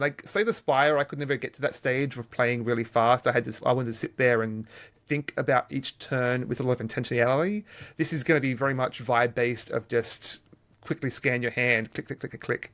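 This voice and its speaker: A man co-hosting a video game podcast in casual discussion, often repeating and correcting his words as he goes. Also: mid-range voice.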